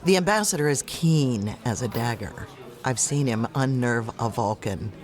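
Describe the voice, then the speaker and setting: An actor playing an excitable, friendly publican, breezy and exclamatory, in an audio drama. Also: male voice, mid-range voice, moderate pace, close to an everyday voice. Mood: teasing. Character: chatty, excitable, friendly male space publican.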